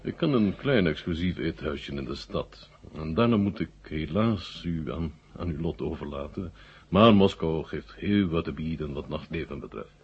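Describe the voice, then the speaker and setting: An actor playing a courteous, shrewd Soviet marshal in a radio drama, speaking in a measured, formal, self-assured way. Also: male voice, a bass voice, expressive, slightly rough, exaggerated voice. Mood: courteous, genial. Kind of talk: 1970 Dutch radio drama acting. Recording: dull 1970 radio recording.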